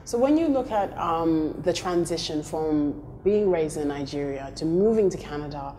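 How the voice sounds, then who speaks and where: A woman's voice: low-pitched, expressive, moderate pace, warm tone, soft background music. A woman in her thirties working as a TV host, presenting scripted introductions and asking interview questions. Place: indoor office, seated.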